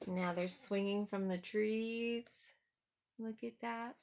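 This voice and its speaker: A woman's voice: mid-range voice, fairly even intonation, slow pace, gravelly, background noise. A woman reading a picture book aloud to young children, talking simply and adding little questions and asides. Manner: simple, child-directed talk with rhetorical questions. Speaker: storyteller for children.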